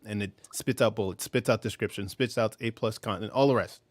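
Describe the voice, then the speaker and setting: A man's voice: lowish voice, brisk, slight background noise. A man chatting as a guest, enthusiastic and friendly with slangy, casual talk from a home studio. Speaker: guest podcaster. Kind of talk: casual chat. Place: home studio, blue-lit room.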